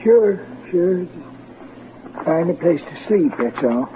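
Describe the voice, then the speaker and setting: Shifty old male vagrant, high voice, wheedling street slang, vintage radio drama with muffled, hissy sound. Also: expressive, takes it slowly. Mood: shifty, chatty. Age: older. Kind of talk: 1940s radio acting. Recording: muffled, noisy 1940s radio recording.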